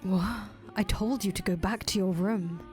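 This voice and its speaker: A woman voicing a brooding, guarded man who answers flatly and dryly. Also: medium pitch, normal speed, soft background music, lightly acted. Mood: stern.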